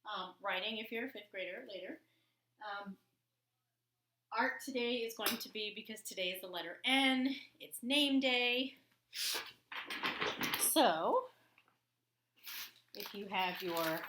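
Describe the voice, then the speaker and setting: A woman's voice: mid-range voice, background noise. Woman teacher reading aloud to children. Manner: explanatory, pauses to comment on pictures. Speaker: elementary school teacher. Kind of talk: read-aloud lesson for children, storybook reading with chatty asides.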